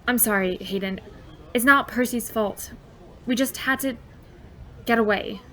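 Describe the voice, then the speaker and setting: A woman's voice: a little high, a little slow, a bit bright. An actress playing an anxious, earnest teenage girl in an audio drama, frank and protective of her friend. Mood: anxious, apologetic.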